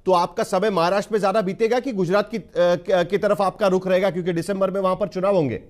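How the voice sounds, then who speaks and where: A man's voice: sits high, fairly even intonation, quick pace. A man with an Indian accent anchoring a broadcast news interview in a formal, wordy way, repeating phrases for emphasis.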